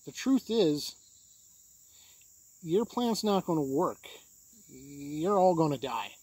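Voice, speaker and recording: A man hosting a tabletop game review video, explaining rules conversationally in a husky voice. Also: a little high, expressive, slow pace, a little mellow, dry recording.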